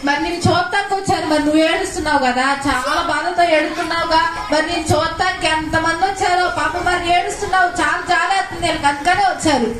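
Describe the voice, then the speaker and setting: A woman hosting a live children's puppet show, asking animated, repeated questions to engage the young audience. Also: very high-pitched, quick pace, hardly any pauses. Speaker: puppet show host talking with the puppet. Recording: echoey, noisy hall, distant mic.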